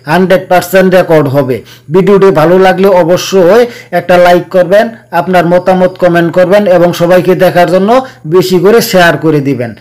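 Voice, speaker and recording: A man hosting a tech tutorial, explaining things casually and repeating key points. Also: sits high. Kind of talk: casual phone tutorial narration.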